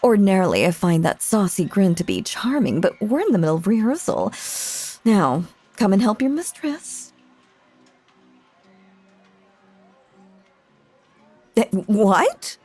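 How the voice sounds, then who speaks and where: A woman's voice: medium pitch, sing-song, moderate pace, a little mellow. A woman playing a possessive, sinister stage magician mistress in an audio roleplay, theatrical and full of silky menace. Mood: teasing, impatient. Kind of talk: dramatic audio roleplay acting.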